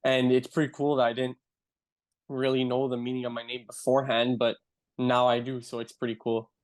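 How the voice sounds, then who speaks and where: A young adult man from Canada with a Canadian accent, a guest in a casual interview, talking in a relaxed way and saying "like" often. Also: medium pitch, a little fast. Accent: Canadian English. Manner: relaxed, filler-heavy, says "like" often.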